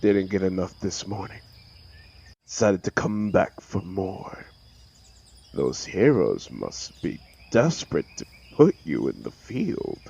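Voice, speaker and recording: A man playing an arrogant, mocking telepathic supervillain in an audio roleplay, with a gravelly voice and a sneering, sarcastic, theatrical manner. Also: low-pitched, big pitch swings, takes it slowly, darkish tone, heavily acted. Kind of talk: dramatic audio roleplay monologue.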